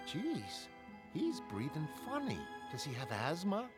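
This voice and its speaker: A man's voice: fairly high pitch, wildly swooping pitch, measured pace. A man playing a mild, wry, melancholy man in a comic-book drama, speaking in an understated, conversational way. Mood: amused. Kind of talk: dramatic comic-book narration in character voices. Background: music.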